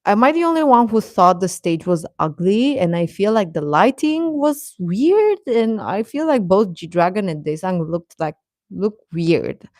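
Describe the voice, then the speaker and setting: A woman hosting a casual livestream, chatting and commenting in a hesitant, halting way. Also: medium pitch, big pitch swings, darkish tone, no echo, studio quality. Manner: hesitant, halting, chuckles often while talking. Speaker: livestream host reading viewer comments.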